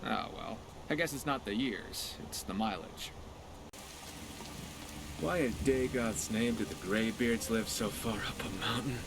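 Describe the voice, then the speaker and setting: A man's voice: on the low side, a little slow, noisy background. A man playing a wry, curious, easygoing pirate companion in a video game, talking in a sardonic, conversational way. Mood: wry, musing. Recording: background music under the voice.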